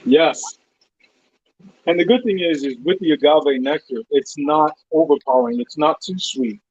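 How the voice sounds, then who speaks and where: A man with a slightly high voice chats casually through his cooking, step by step. The sound is very dry and a little muffled, like lo-fi stream audio. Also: animated, moderate pace, darkish tone, breathy edge, no echo. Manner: informal, explaining each step as he cooks. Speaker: guest cook on a live cooking show. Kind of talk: casual cooking demonstration chat.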